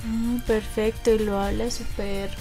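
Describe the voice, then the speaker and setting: A woman's voice: mid-range voice, level tone, unhurried, warm tone, soft background music, rough recording. A woman hosting an interview, friendly, backing the guest with "ujú" and "súper". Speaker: radio host. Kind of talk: interview chat.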